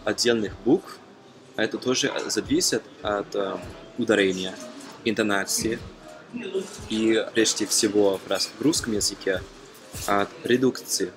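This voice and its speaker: A young man from Germany talking casually to camera as a non-native speaker, halting with frequent fillers and self-corrections. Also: fairly low pitch, rough recording. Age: young adult. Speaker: language-learning vlogger. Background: background music.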